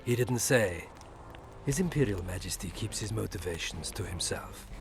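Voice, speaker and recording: An actor playing a suave, middle-aged imperial ambassador in a video game, formal, measured and insinuating. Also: male voice, fairly low pitch, animated, vocal fry. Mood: formal, guarded. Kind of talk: video game dialogue acting. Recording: music behind the voice.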